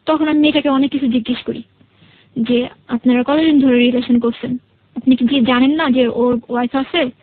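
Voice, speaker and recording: A woman's voice: high voice, fairly even intonation, normal speed, narrow, tinny audio, a little hiss or hum. A young woman with a Bangladeshi accent, a guest telling her personal story conversationally and quoting reported dialogue.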